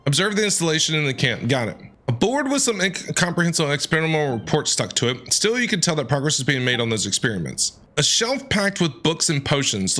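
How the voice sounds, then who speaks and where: A bright-voiced man gives casual game commentary over faint music. His pitch swings in a lively way as he playfully reacts and reads out dialogue and text. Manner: playful, expressive. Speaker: game streamer.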